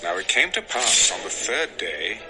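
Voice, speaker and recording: Expressive male scripture narrator over music. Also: fairly low pitch, normal speed.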